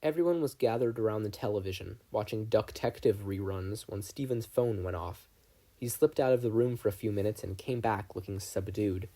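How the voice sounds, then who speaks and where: A man's voice: fairly low pitch, moderate pace, dark tone. A man reading scripted fiction as an audiobook narrator, telling a story. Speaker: fan fiction audiobook reader.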